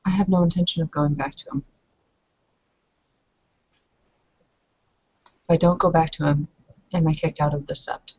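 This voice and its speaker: A woman voices a guarded, proud young wife in a roleplaying game, resigned but stubborn. Her delivery is formal and flat, with a creaky edge and measured control. The recording is dull and lo-fi. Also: deep voice, no room sound.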